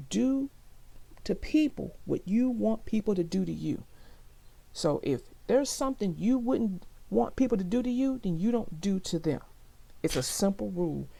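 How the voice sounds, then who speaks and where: A woman narrating a children's story aloud, expressively and deliberately, as if to young listeners. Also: medium pitch, vocal fry, mellow.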